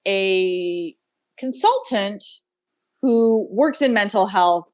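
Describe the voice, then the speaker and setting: A woman's voice: mid-range voice, lively intonation, drawn-out words, a little mellow. A woman co-hosting a casual review chat, reacting expressively. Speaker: co-host of a drama review show.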